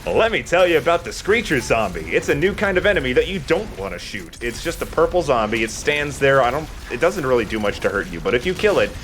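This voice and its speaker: A man's voice: medium pitch, sing-song, fast talker, hardly any pauses, a bit bright, slight background noise. A man giving casual let's play commentary, explaining things and correcting himself as he goes.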